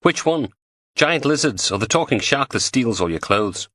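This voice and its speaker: Deadpan male psychologist, flat Liverpool accent, measured delivery, as a man puts him on. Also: mid-range voice, no echo, clean studio sound, voice pushed up.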